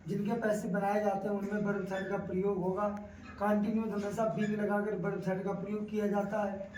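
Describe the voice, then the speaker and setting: A man's voice: high-pitched, darkish tone. A man with a North Indian accent teaching grammar in an explanatory, didactic way, repeating key phrases for emphasis. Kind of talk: classroom grammar lesson, explanatory. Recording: roomy echo, distant mic, faint noise.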